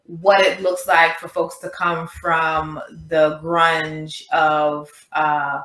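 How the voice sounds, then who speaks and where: A woman from Queens, a business co-founder chatting as a podcast guest, lively and laughing as she talks. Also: sits low, very slow, echoey room. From Queens, New York. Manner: animated, laughs while talking. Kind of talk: casual chat.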